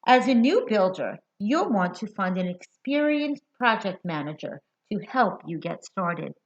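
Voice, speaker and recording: An American woman reading a picture book aloud to young children, expressive and deliberate, putting questions to her listeners. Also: medium pitch. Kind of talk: children's read-aloud storytelling. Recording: dull lo-fi sound.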